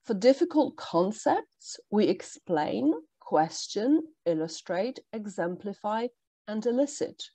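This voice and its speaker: A woman whose first language is Polish, presenting a workshop for language teachers in a measured, explanatory way with frequent ums. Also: medium pitch, big pitch swings, mellow, no room sound. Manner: measured, explanatory, with pauses and fillers. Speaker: teacher trainer.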